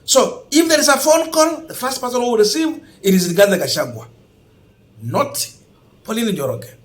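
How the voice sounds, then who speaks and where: High-pitched Kenyan man, emphatic political commentary. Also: animated. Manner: emphatic, deliberate, repeats points for stress. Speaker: host of an online political commentary channel. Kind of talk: political news commentary to camera.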